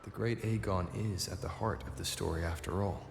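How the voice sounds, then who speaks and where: A calm, reflective wanderer in a video game, with a low, creaky voice. He speaks in a measured way, echoing over music. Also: slight background noise. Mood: pensive.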